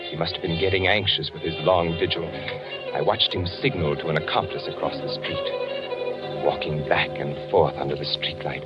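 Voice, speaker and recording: A man acting as a tense, secretive spy, narrating a 1940s radio drama in deliberate, suspenseful, clipped phrases. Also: low voice, steady tone, close to an everyday voice. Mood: tense, watchful. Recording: dull vintage radio recording with music.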